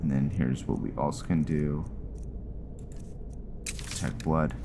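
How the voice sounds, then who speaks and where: A man's voice: a bass voice, steady tone, slow pace, very noisy. A man giving casual, easygoing gameplay commentary, thinking aloud as he plays. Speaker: gamer narrating playthrough.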